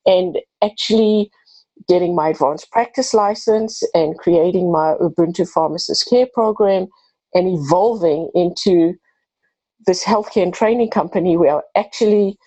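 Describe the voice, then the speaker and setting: A woman's voice: fairly low pitch, moderate pace, mellow, no room sound, rough recording. A woman in her fifties with a South African accent, a pharmacist answering interview questions earnestly and with passion. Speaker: pharmacist and podcast host.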